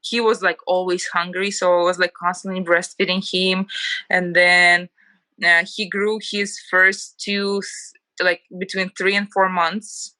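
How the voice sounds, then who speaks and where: A woman's voice: fairly low pitch, flat delivery, a little slow, sharp tone, no echo. A woman in her thirties from Ukraine with a Ukrainian accent, chatting as an interview guest with lots of "like" fillers. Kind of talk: casual interview chat.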